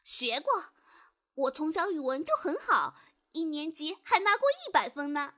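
Woman as a very high-voiced excitable girl. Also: moderate pace, full character voice. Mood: earnest. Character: naive, excitable, starstruck young girl. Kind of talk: comic audio drama acting. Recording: loud music behind the voice.

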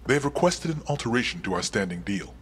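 A man playing an unflappable right-hand man to a crime boss in a video game, formal, clipped and deadpan. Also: mid-range voice, a little fast, breathy edge, exaggerated voice. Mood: composed. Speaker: crime boss's adviser. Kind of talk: cinematic game dialogue.

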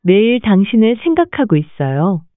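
A woman reads short lesson prompts at a brisk clip. Her pitch moves a lot. The sound is dry and tinny, like a phone line. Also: big pitch swings, dry recording. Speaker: Korean prompt reader.

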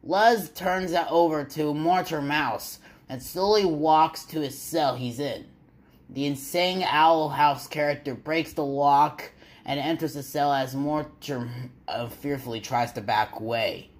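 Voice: mid-range voice, unhurried. A narrator reading a scripted story aloud, stumbling now and then over the words.

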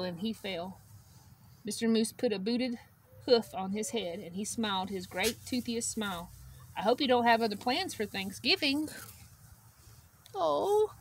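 A middle-aged woman reads a children's picture book aloud in a high-set voice. Her delivery is expressive and bright, and she turns to young listeners with simple questions. She is recorded outdoors, with some background noise from poultry. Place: outdoors beside a wire poultry pen. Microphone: camera a step or two away. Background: chickens and outdoor ambience.

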